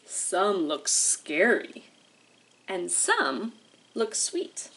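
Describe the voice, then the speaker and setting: A woman leading an interactive storytime for young children, animated and sing-song, asking questions with exaggerated wonder. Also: medium pitch, very slow, vocal fry, slight background noise, low-quality recording. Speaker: children's librarian hosting storytime.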